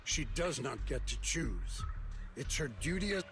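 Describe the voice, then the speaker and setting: Strict old-school sect elder in a game, firm and commanding, music playing under him. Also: male voice, mid-range voice, big pitch swings, measured pace. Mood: stern insistence. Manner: firm, authoritative. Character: stern, uncompromising male sect elder. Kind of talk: game voice acting. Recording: loud background music.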